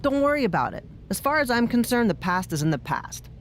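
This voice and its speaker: A woman's voice: a little high, big pitch swings, brisk, slight background noise. A woman playing an easygoing veteran pro wrestler in a video game cutscene, wry and candid as she talks. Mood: wry, reassuring. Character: easygoing veteran female wrestler seeking a grudge match.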